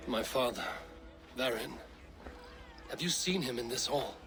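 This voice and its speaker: A man's voice: mid-range voice, lively intonation, unhurried, music in the background. An actor playing an earnest viking warrior who asks after his father in a video game cutscene. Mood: questioning. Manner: earnest and questioning.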